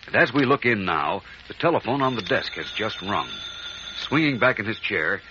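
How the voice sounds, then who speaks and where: A man's voice: on the low side, sing-song, normal speed, background noise. A man narrating a 1940s radio serial as its announcer, in a dramatic scripted style. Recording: dull vintage radio recording.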